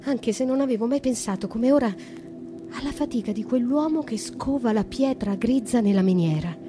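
An actress playing a brooding young noblewoman imprisoned in a dark cell, speaking in a lyrical, slow, reflective way in a radio drama monologue. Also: a little high, over music, lightly acted. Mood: dreamy, wistful.

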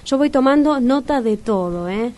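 A woman playing a brisk, polite matchmaking agency representative in a radio comedy, formal and salesy, with a Rioplatense Argentine accent. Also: high voice, expressive, mellow. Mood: businesslike. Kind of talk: radio comedy sketch acting.

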